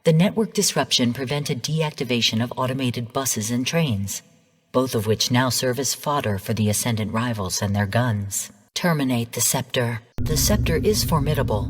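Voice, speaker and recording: An actress playing a composed, coolly commanding artificial intelligence who guides missions in a video game, speaking in formal, measured briefings. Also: female voice, rumbling low, husky, big-room sound. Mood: composed, instructive.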